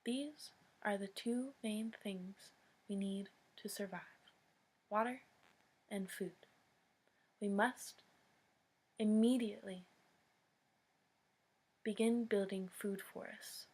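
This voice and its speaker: An American woman giving an earnest public address, speaking solemnly and deliberately in short phrases with long pauses. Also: medium pitch, steady tone, a little mellow, slightly rough. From United States.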